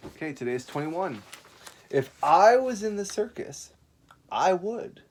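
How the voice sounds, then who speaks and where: Animated male teacher for young children. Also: sits high, unhurried, slight background noise. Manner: instructive, casual asides, simple repeated directions. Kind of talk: read-aloud and lesson for young children.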